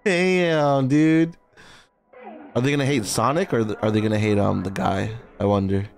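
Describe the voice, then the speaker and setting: A man's voice: mid-range voice, steady tone, normal speed, vocal fry, soft background music. A young man co-hosting a casual film reaction, slangy, profane and exclamatory, close on a studio mic. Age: young adult. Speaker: reaction video co-host. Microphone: close studio microphone with headphones.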